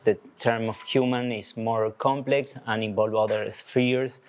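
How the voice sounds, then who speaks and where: A man from Argentina, an artist with a biology background, explaining concepts in a talk, halting and searching for words. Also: fairly low pitch, fairly even intonation, darkish tone. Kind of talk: presenting a talk to an audience.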